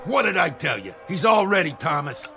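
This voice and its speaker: A man's voice: a little high, big pitch swings, fairly quick, over music. An actor playing a loyal, anxious cornerman in a radio drama, fussing and pleading with care. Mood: attentive. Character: worried, loyal boxing cornerman, anxious and caring.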